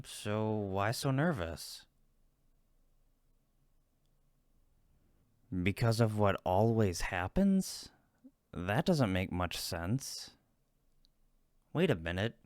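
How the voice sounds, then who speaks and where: Man acting as low-voiced, supportive cupid roommate. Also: no echo, clean studio sound, close to an everyday voice. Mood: concerned. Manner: playful, reassuring, emphatic. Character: friendly male cupid roommate, teasing, supportive best friend. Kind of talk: roleplay audio comfort acting, one-sided conversation.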